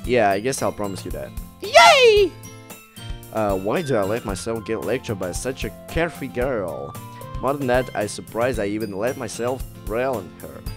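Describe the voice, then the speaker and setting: Young man, gaming commentary, reading text aloud, animated intonation, background music. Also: fairly low pitch. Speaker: gaming YouTuber.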